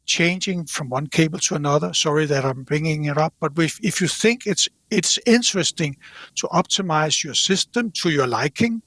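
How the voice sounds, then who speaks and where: A man speaking as an interview guest in casual conversation, haltingly, repeating words as he thinks aloud. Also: on the high side, fairly bright tone, no room sound. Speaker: podcast guest from a loudspeaker company.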